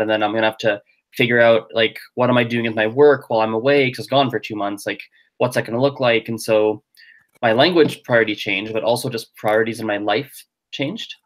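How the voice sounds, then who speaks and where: A man's voice: on the low side, fairly even intonation, fast talker. A young adult man whose first language is Gujarati, chatting as a guest in a casual interview, thinking aloud with lots of fillers. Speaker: guest language teacher and podcaster.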